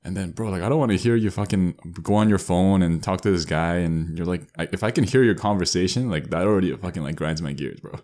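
A man's voice: low-pitched, fast, few pauses, darkish tone. A young man chatting casually as a podcast co-host, slangy and filler-heavy. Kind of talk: casual chat.

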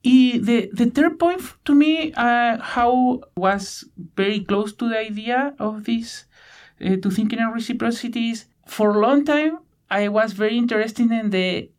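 A man in his thirties from Chile with a Chilean Spanish accent, answering interview questions in halting English with many fillers and restarts. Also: very high voice. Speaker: guest, PhD student.

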